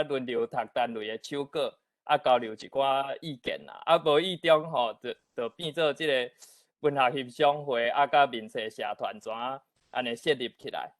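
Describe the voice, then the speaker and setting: A man's voice: medium pitch, normal speed, a bit bright, no room sound. A man with a Taiwanese accent hosting an online meeting, giving courteous, informal opening remarks. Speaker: outgoing host of an online literature event.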